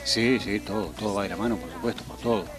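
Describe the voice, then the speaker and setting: Low-voiced Argentine man, joking guest. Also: quick pace. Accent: Argentine Spanish. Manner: joking, self-deprecating, acts out shouts from passersby. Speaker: weather presenter as guest. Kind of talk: casual interview. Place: TV studio set, on a couch. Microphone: handheld mic held by interviewer.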